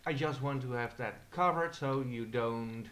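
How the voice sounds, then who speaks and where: A man's voice: mid-range voice, unhurried, a bit bright, a little hiss or hum. A young Dutch man with an accent, hesitantly narrating a tour of his game server with many fillers. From Netherlands. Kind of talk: casual screen-recorded walkthrough.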